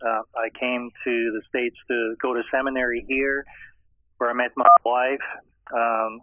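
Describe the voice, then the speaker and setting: A middle-aged man, answering interview questions in a hesitant way with many pauses and restarts. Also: medium pitch, little pitch movement, dry recording. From Austria. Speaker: guest scholar. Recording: tinny phone line.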